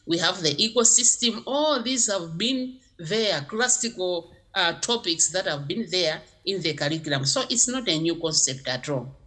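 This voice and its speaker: A woman's voice: on the low side, moderate pace, hardly any pauses. A woman from Malawi, a geography teacher giving emphatic interview answers. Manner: emphatic, animated explaining.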